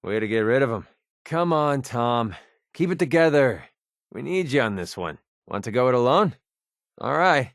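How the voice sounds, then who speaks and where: A man's voice: mid-range voice, very animated, moderate pace, no room sound, clean studio sound. An American man playing a cynical, wisecracking young mercenary in a video game, with a casual, sarcastic, slangy delivery. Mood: dry, determined. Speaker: video game companion character.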